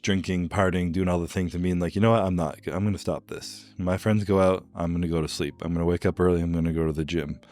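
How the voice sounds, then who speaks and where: A man with a very deep voice rattles through his story in long run-on sentences. He talks quickly and casually. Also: a little mellow. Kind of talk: podcast talk.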